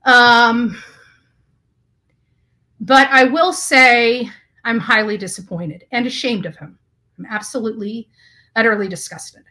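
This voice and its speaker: A woman in her forties giving a halting, indignant personal vlog rant, repeating words for emphasis, recorded indoors in a small room. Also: a little high, steady tone, bright, forceful tone. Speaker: YouTuber. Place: small hotel or bedroom, indoors.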